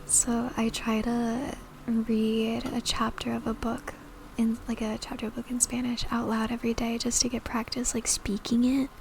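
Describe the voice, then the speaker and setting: A woman streamer whispering soft, giggly ASMR chat to her viewers into a sensitive mic. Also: fairly high pitch, little pitch movement, moderate pace, vocal fry, noisy background. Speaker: VTuber streamer.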